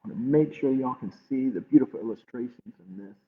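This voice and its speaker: A man reading a picture book aloud to children with measured, expressive pauses. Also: mid-range voice. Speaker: library storytime reader. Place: livestream from a closed-library online program. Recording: muffled phone-like streaming audio.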